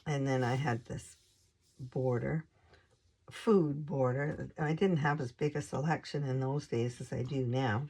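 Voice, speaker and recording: A hoarse woman hosting a craft channel, casually showing her scrapbook pages in an unhurried, hesitant way with lots of ums. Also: a bass voice, steady tone, darkish tone.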